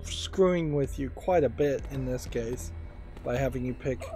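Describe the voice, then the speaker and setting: A man's voice: medium pitch, a little slow, mellow. A man giving casual game commentary, thinking aloud in a musing, hesitant way. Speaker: let's player. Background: game music.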